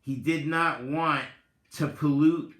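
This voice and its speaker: A man giving a dramatic reading with spoken commentary, deliberate and halting, in short phrases. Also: on the high side, steady tone, a bit bright. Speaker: reader, content creator. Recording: roomy, echoing voice recording.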